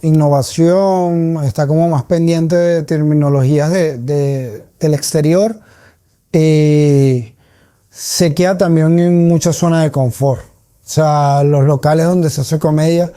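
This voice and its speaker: A man's voice: a little high, fairly even intonation, slow pace, dark tone, smooth, no echo, studio quality. A man with a Caracas Venezuelan accent giving reflective, conversational answers as a comedian being interviewed.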